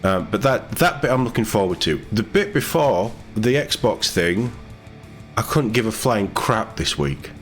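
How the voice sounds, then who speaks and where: A man's voice: on the low side, lively intonation, measured pace, faint music behind. A British man presenting gaming news in a casual, sardonic way, mixing read-out text with his own commentary. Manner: sardonic, sweary asides. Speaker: gaming YouTuber.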